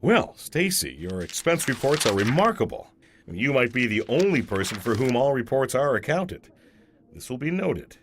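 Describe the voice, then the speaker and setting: An actor playing a dry, officious auditor in a comedic audio drama, formal and deadpan in his wit. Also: medium pitch, normal speed, background noise, lightly acted. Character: dry, officious male auditor, stiff and exacting.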